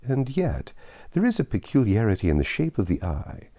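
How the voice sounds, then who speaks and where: A poised young gentlewoman from a classic novel, chatty and gently ironic, voiced by a male reader. Her lines rise a little above his low natural register, with lively, wide-ranging intonation. The timbre stays warm and mellow. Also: normal speed. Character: confident, witty young gentlewoman. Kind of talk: audiobook reading of a classic novel.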